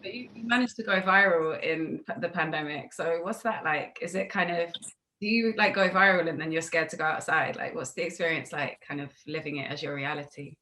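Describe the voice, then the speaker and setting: Bright-voiced woman interviewing, lowish pitch. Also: fairly quick. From United Kingdom. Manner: chatty, rambling questions peppered with "like" and "you know". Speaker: music interviewer. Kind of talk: casual interview chat. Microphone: video call.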